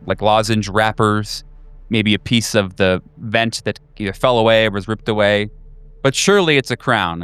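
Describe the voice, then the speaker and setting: Smooth-voiced man as game master. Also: on the low side, a bit bright. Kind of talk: casual tabletop game narration. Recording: music under the voice.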